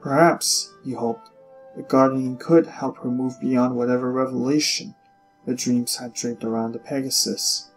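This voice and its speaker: Male storyteller narrating over soft music. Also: mid-range voice, fairly even intonation, normal speed, low-quality recording. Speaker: audiobook narrator.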